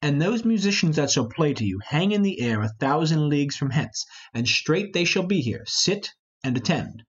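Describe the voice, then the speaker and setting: A man's voice: on the high side, big pitch swings, moderate pace, breathy edge, highs cut off. A man playing a commanding, solemn older lord and father in an amateur Shakespeare reading, declaiming the verse. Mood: grand, solemn.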